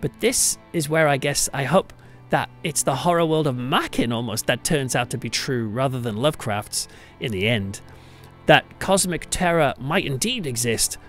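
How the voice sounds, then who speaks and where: A man's voice: medium pitch, animated, normal speed, fairly bright tone. A British man hosting a podcast, giving a reflective monologue in a conversational, thoughtful and emphatic way. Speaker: podcast host, church pastor. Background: music under the voice.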